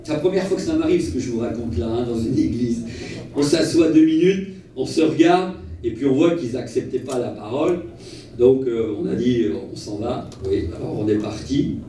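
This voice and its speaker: A man preaching as a travelling evangelist, telling personal stories in an earnest, animated way and repeating phrases for emphasis. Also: medium pitch, moderate pace. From Portuguese family origin. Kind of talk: sermon with personal storytelling. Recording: echoey hall, distant mic, faint noise.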